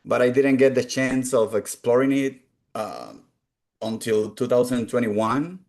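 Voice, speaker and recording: A man's voice: mid-range voice, lively intonation, unhurried, darkish tone. Earnest Cuban-born man. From Cuba. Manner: earnest, open and reflective. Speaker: guest. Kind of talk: conversational interview answers.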